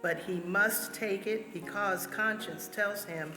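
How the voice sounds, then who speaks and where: Mid-pitched woman reading in echoey hall. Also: steady tone, slow pace. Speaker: church member reading. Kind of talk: reading quotations aloud. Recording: echoey hall, music underneath. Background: music.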